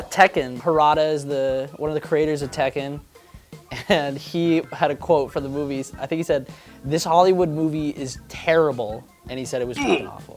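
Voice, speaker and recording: A reviewer giving casual off-the-cuff verdicts in a joking, sweary, exasperated way. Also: mid-range voice.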